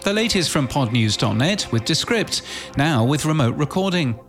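A man presents a scripted podcast news bulletin with music behind him. His delivery is crisp and orderly, moving from headline to headline with the odd dry aside. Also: a little high, lively intonation, moderate pace.

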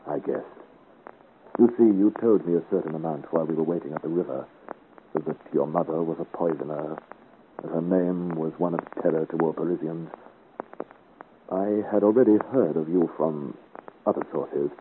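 Earnest, very deep-voiced adventurer hero. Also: male voice, normal speed, long pauses. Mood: gentle, probing. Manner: solemn, careful, formal. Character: earnest, gentle young adventurer hero of 17th-century France. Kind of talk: 1950s radio serial acting. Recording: scratchy, noisy, tinny 1950s radio recording.

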